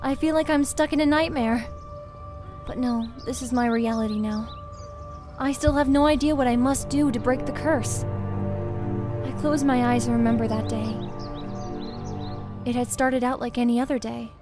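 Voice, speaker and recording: A haughty young crown princess played by a woman narrates in a fairly high voice. Her delivery is formal and disdainful, in a visual novel style, over faint music. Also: fairly even intonation, normal speed, long pauses, close to an everyday voice. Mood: cold, disdainful. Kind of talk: scripted first-person visual novel narration.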